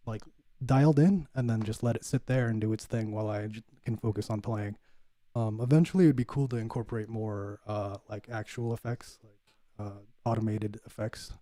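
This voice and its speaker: A relaxed man chats with his viewers between songs on a livestream. His lowish, mellow voice is easygoing and full of fillers, and the close, dry mic picks up no room at all. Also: no echo. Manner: laid-back, filler-heavy, says "bud". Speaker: livestream host, bassist. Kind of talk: casual chat reading viewer comments.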